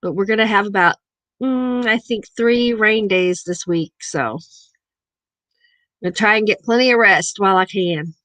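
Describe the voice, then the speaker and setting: A woman's voice: medium pitch, moderate pace, fairly bright tone, no echo. A woman from southern Missouri with a southern Missouri accent, chatting casually with viewers as host of a livestream. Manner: chatty, reads viewer chat aloud. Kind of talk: casual live chat.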